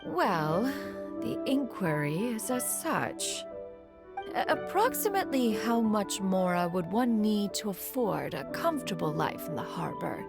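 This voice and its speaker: A woman's voice: mid-range voice, big pitch swings, a little slow, vocal fry. A woman voicing a proud, formal immortal crane spirit in human form for a video game, stately and archaic in speech. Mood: formal, awkward. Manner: stately, archaic, says "one" for herself. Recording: music under the voice.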